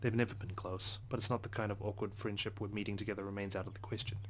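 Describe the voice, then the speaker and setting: Fast, even-toned man reading aloud. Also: on the low side, a little hiss or hum. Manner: earnest, occasional stumbles while reading. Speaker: let's play host reading a visual novel aloud. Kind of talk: narration read aloud in first person.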